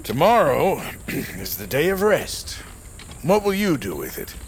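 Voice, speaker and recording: An actor playing a jovial, humble old laborer fond of ale, a hearty rambler in a fantasy audio drama. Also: male voice, fairly high pitch, very animated, measured pace, creaky, a little hiss or hum, exaggerated voice. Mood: warm, teasing.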